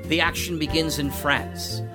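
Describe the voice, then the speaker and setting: A man's voice: a little high, steady tone, unhurried, over music. A man hosting a radio theater show, giving a formal, showman-like scripted introduction. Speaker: host.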